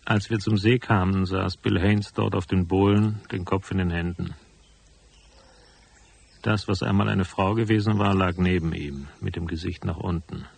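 Low, husky hard-boiled investigator. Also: male voice, steady tone, normal speed, a little mellow, full character voice. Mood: cool, brooding. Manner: laconic, matter-of-fact. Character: cool hard-boiled male private investigator narrating his case. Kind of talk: radio drama narration and dialogue.

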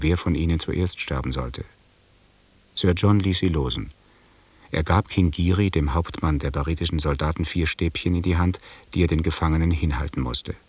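An actor playing an expedition member who soberly narrates events in a German audio drama, matter-of-fact and scripted. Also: male voice, sits low, a little mellow, slightly rough, no echo, distant mic.

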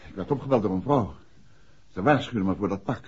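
A man's voice: on the low side, animated, fairly quick, breathy edge. An actor playing a protective, probing detective husband in a 1960s radio drama, with a decisive, fatherly manner. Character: married male detective, protective, probing and authoritative. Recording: dull, noisy 1960s radio recording.

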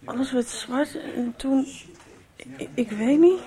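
A dazed schoolgirl in a radio soap. Her high, soft-toned voice is slow, weak and halting. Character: faint, dazed schoolgirl who wants to go home. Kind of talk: 1990s radio soap acting.

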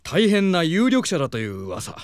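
A man's voice: fairly high pitch, huge pitch swings, moderate pace, clear, resonant tone, no room sound, studio quality. A man playing an agreeable, gossipy showbiz colleague in an anime audio drama, chummy and knowing.

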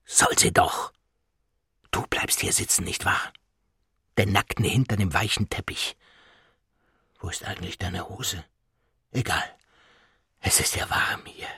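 An actor playing a confused, secretive old man in a dramatised inner monologue, muttering conspiratorially to himself in a husky, breathy voice. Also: deep voice, a little slow, long pauses, pushed and bright, no room sound, clean studio sound, full character voice. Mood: muttering defiance.

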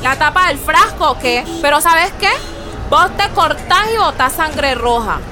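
Radio drama: feisty Colombian paisa mother, warm, outspoken, high-voiced, music underneath. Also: lively intonation, a little slow, crowd noise. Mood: defiant. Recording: music bed behind the voice.

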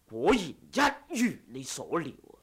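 An actor playing a cold, haughty martial-arts assassin in a radio drama, measured and sardonic in delivery. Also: male voice, fairly high pitch, huge pitch swings, a bit bright, breathy edge, heavily acted. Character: ruthless male wuxia assassin, cold and haughty. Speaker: audiobook voice actor.

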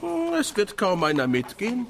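A man's voice: high voice, wildly swooping pitch, moderate pace, gravelly, fairly bright tone, full character voice. An actor playing a carefree, dismissive townsman in a radio play, breezily shrugging off worries in a noisy street. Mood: dismissive, jolly. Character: carefree, dismissive townsman looking forward to a feast. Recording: noisy outdoor street scene, horse hooves.

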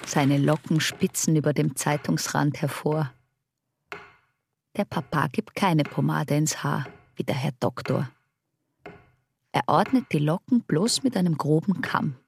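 A woman's voice: low voice, unhurried, vocal fry, slight background noise. A woman playing an observant, defensive young daughter in a radio drama, in a wry, intimate inner monologue. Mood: wry observing.

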